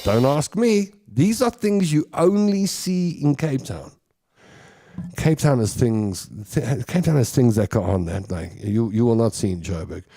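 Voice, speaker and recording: A South African man hosting a rambling, jokey monologue, laughing at his own stories. His pitch moves a lot, and his tone stays warm and mellow. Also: animated, unhurried. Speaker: host. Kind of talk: comic monologue.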